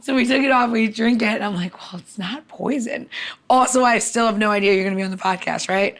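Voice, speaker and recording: A woman's voice: fairly high pitch, fairly quick. A woman co-hosting a casual podcast, talking bluntly and acting out dialogue as she tells stories. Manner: blunt, salty, animated storytelling with quoted dialogue. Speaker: podcast co-host; cocktail maker. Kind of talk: casual podcast chat with anecdotes.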